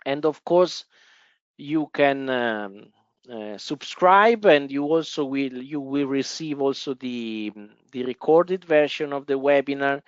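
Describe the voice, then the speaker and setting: A man's voice: medium pitch, slow pace, smooth, dry recording, lo-fi sound. A man from Italy with an Italian accent, hosting a live stock-investing webinar and talking to viewers with many fillers and restarts. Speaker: webinar host. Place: office in the Italian part of Switzerland.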